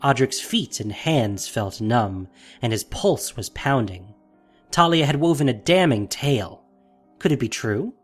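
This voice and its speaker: A man's voice: medium pitch, lively intonation, measured pace, faint music behind. A man narrating a fantasy audio drama in a dramatic, scripted storytelling style.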